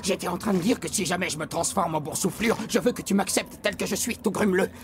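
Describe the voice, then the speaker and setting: An actor voicing a goofy, laid-back talking animal sidekick in a French cartoon dub, slangy and excitable. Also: mid-range voice, fast talker, close to an everyday voice. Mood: dry. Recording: cartoon soundtrack with loud music.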